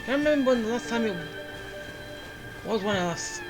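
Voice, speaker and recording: A woman's voice: fairly high pitch, expressive, normal speed. Casual woman gamer over music. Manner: muttering short reactions to the game. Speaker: video game streamer. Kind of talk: casual gameplay commentary.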